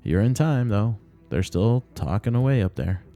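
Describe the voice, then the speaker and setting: A man voicing a folksy, good-natured middle-aged village housewife in a storybook, with drawn-out delivery. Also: lowish voice, expressive, dark tone, soft background music, pitched above the performer's own voice.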